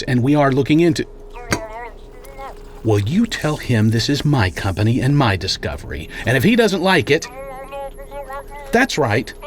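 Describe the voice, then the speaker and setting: A man playing a domineering, menacing scientist tycoon in a radio drama, speaking in an imperious, sardonic way. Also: mid-range voice. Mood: boastful, intense, then irritated, threatening. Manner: imperious, deliberate storyteller, sardonic.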